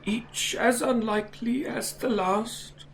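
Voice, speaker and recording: A woman playing a calm, dignified old male wizard headmaster in an audio drama, measured and formal. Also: a little high, slight background noise. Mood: calm, firm.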